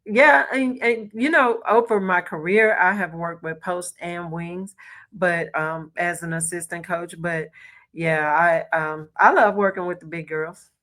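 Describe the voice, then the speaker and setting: A middle-aged woman from Arkansas, a basketball coach, telling stories in a relaxed podcast interview with a soft voice and lots of "you know". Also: on the low side, a little slow. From Little Rock, Arkansas. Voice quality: soft-spoken. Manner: storytelling, warm laughs, frequent "you know". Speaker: associate head basketball coach, guest.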